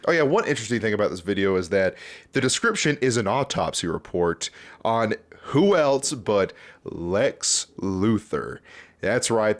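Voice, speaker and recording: Casual low-voiced man rambling through commentary. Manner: informal, rambling, chatty. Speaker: YouTube host reviewing fan videos. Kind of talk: casual off-the-cuff video commentary.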